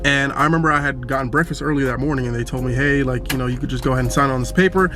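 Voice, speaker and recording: A young man from South Florida with a raspy, congested voice, vlogging casually in a car about military life, loose and chatty with lots of "like" and "um". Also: medium pitch, rapid-fire, over music. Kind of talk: casual vlog while driving. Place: inside a car. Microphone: camera mic.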